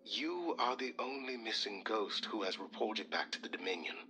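An actor playing a calm military support officer in a video game, formal, measured and polite. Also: male voice, fairly low pitch, a little hiss or hum. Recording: loud game music behind the voice.

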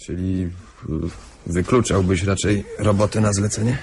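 Man doing a businesslike detective, lowish voice, clipped and factual, radio drama, slight background noise. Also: steady tone, moderate pace, lightly acted. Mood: matter-of-fact. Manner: matter-of-fact, clipped. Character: businesslike, eager male police investigator briefing his partner.

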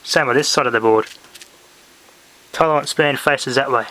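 A man's voice: medium pitch, normal speed, sharp tone. A man presenting a hands-on hobby demonstration casually, thinking aloud, recorded indoors on a handheld camera. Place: indoors in a home room. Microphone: handheld camera or phone. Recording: noisy handheld home video, clicks.

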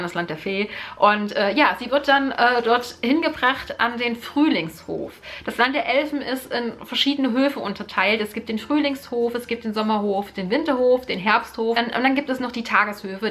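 A woman's voice: fairly high pitch, normal speed, few pauses, fairly bright tone. A young woman from Germany talking casually and enthusiastically about a book series, with lots of fillers and repeated words, in a living room close to the camera. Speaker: book vlogger. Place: living room in front of a sofa.

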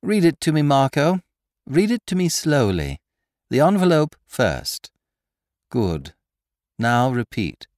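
A cocky gentleman thief, as a male narrator puts him on, brisk and mocking, pitched well above the reader's own voice and thrown loud with lively swoops. The storybook scheme is gleeful and taunting. The sound is close and dry. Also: dry recording. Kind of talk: audiobook character voice.

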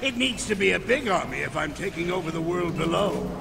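A man's voice: high-pitched, animated, fairly quick, gravelly, heavy background noise, full character voice. A man playing a pompous middle-aged mad scientist villain in a video game, theatrical and sneering. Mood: gloating. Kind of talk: video game cutscene acting.

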